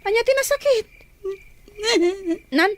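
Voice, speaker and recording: A woman's voice: very high-pitched, lively intonation, slow, full character voice. A woman acting a teasing, nagging older sister in a radio drama. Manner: teasing, scolding.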